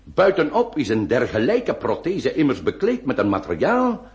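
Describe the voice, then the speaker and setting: A man's voice: medium pitch, wildly swooping pitch, muffled recording. A man acting in a radio play as a self-assured, vain nineteenth-century surgeon who speaks in a formal, pedantic, soothing way. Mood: matter-of-fact. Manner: pompous, formal, deliberate emphasis. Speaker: radio drama actor. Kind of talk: theatrical Dutch-language radio play acting.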